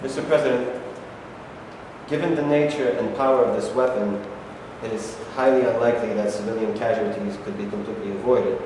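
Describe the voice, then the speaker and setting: A man's voice: fairly low pitch, fairly even intonation, a little fast, close to an everyday voice. A man acting an earnest, insistent military adviser to a president in a staged play reading. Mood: measured briefing. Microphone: far camera microphone. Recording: echoey hall, distant camera, noisy.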